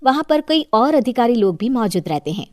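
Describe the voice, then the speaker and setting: A woman's voice: on the high side, sing-song, a little fast, darkish tone, no room sound, clean studio sound. A woman narrating a scripted moral story as storyteller.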